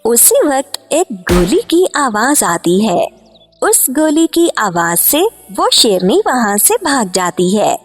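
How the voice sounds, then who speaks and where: A woman reading scripted narration for a cartoon story, as the storyteller. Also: mid-range voice.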